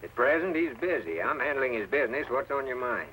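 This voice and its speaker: An actor playing a scornful, scheming crooked deputy in an old radio western, brusque and sneering. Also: male voice, mid-range voice, sing-song, a little fast, fairly bright tone. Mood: scornful, dismissive. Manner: brusque, drawn to threats and sneers. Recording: faintly noisy old radio recording.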